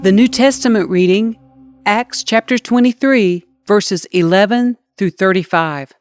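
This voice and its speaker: A woman's voice: medium pitch, measured pace, soft background music. A woman reading scripture aloud as a scripted audiobook narration. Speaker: scripture reader.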